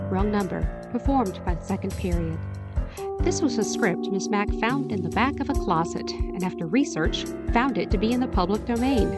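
Upbeat teacher host from Texas, mid-pitched, music underneath. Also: female voice. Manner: upbeat, jokey. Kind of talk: scripted podcast introduction. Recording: music bed behind voice.

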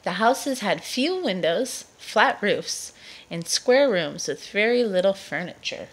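A woman reading a children's nonfiction book aloud to a child in a measured storytelling delivery. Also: mid-range voice, big pitch swings, breathy edge.

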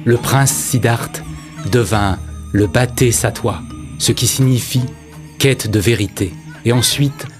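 Music plays clearly behind a male narrator of an animated film. His mid-pitched voice delivers scripted storytelling with measured solemnity.

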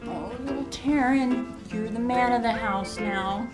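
A woman's voice: a little high, unhurried, natural voice. A woman playing a melodramatic, cooing, self-absorbed mother in sketch comedy. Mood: syrupy farewell. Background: music.

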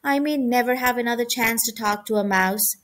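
A woman's voice: fairly high pitch, brisk, a bit bright, close to an everyday voice. A woman voicing a friendly, candid young boy in a children's storybook, eager to be older. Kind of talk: children's storybook character reading.

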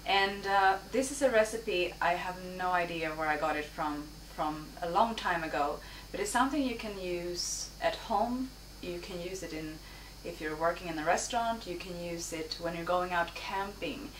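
A woman in her thirties from Sweden hosting a home cooking show, calmly explaining each step as she cooks in her kitchen. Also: fairly low pitch, moderate pace. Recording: echoey kitchen, distant mic, noisy.

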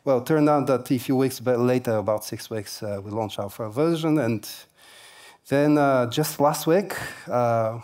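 A man's voice: medium pitch, measured pace, darkish tone. A man in his forties giving a tech conference keynote on a large stage, speaking casually with many fillers and light humor through a headset mic.

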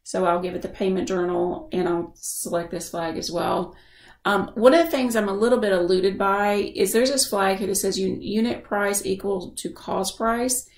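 A woman giving a casual software tutorial as a screen-share voice-over, explaining informally and thinking aloud. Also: medium pitch, steady tone, moderate pace, reverberant. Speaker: software instructor.

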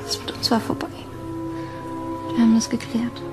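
A woman's voice: on the high side, completely flat delivery, normal speed, over music, natural voice. A woman acting a grieving, guarded girlfriend in a crime radio play, giving short subdued answers. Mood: subdued, defensive. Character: grieving, guarded young girlfriend of a dead man.

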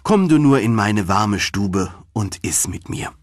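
A man's voice: lowish voice, lively intonation, measured pace, dry recording, studio quality. A man voicing a kindly, homely old female field mouse who likes to give advice in a storybook reading. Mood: kindly, persuasive.

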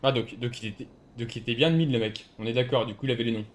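A man's voice: medium pitch, steady tone, racing. Argumentative man in an online roleplay. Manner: argumentative, sarcastic. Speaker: streamer playing a civilian in an online roleplay game. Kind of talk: casual roleplay arguing and gaming commentary.

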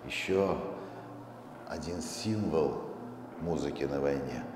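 A man's voice: very deep voice, slow. A middle-aged man presenting live on stage, commenting as he goes. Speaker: presenter, museum director. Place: large concert hall stage. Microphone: handheld mic, recorded from far off. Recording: echoey hall recorded from audience, heavy noise.

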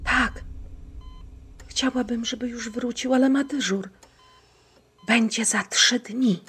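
Radio play mother: tender, puzzled, high-pitched, very breathy, unhurried delivery. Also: steady tone, fairly bright tone, full character voice. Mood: wistful.

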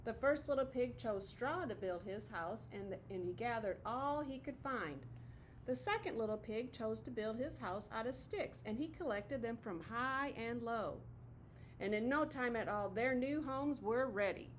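A woman's voice: a little high, measured pace, slight background noise, low-quality recording. A middle-aged woman teacher reading a picture book aloud expressively to children, recorded in a classroom. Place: classroom, indoors.

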